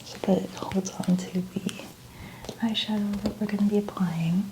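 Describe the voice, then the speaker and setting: A woman speaking softly and often whispering in a breathy voice, gently narrating each step as an ASMR makeup host. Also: mid-range voice, steady tone, normal speed, background noise. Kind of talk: soft-spoken ASMR roleplay.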